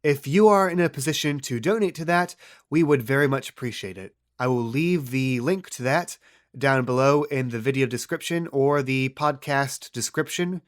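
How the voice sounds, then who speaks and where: A man's voice: mid-range voice, moderate pace. A man hosting a podcast as game master, with casual narration in a tabletop game style.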